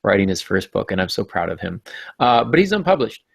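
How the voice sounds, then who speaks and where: A man's voice: fairly low pitch, sing-song, brisk, creaky, slightly rough, dry recording. Playful male podcast host, close dry sound. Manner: playful, tongue-in-cheek. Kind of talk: casual, joking podcast talk.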